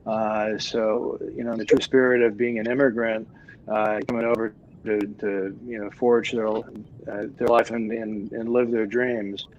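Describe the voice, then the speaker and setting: An older man from Germany, a guest in a casual chat, talking haltingly with many fillers and restarts. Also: on the low side, level tone, highs cut off, slight background noise.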